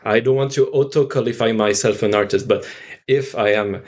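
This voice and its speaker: A man with a French accent speaking English as a podcast guest, halting and thoughtful in casual conversation. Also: lowish voice, darkish tone. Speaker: guest, theater artist.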